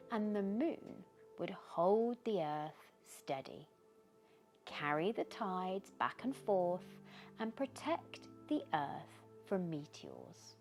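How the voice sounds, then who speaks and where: A British woman reading a children's bedtime story aloud in an expressive, dramatic way, often dropping into hushed tones. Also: lowish voice. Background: background music.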